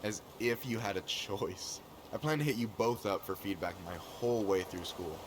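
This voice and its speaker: Game role: male actor as confident, friendly young cook, lowish voice over noise. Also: normal speed, close to an everyday voice. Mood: playful. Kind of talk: casual video game dialogue. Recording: noisy game capture.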